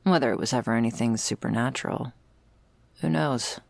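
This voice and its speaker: A heavily fried, gravelly female voice, very close and dry from a woman acting out the part. She is a world-weary servant of vampires in an ASMR roleplay, explaining things with sardonic, casually profane wit. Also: extremely low pitch, a little fast, a little mellow, no echo, full character voice. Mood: dry, musing. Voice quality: very creaky, gravelly. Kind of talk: ASMR roleplay, intimate scripted monologue.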